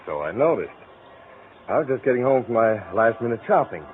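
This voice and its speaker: An actor playing an older, fatherly reverend, earnest and gently insistent with proper diction, in a 1940s radio drama. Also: mid-range voice, big pitch swings, fairly quick, narrow, tinny audio, natural voice. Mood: friendly, coaxing. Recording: thin, slightly noisy old radio recording.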